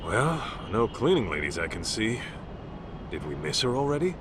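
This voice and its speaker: A man playing an easygoing, gruff middle-aged private detective in a video game, talking in a casual way. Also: medium pitch, sing-song, normal speed, noisy background. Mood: businesslike. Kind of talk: video game cutscene acting.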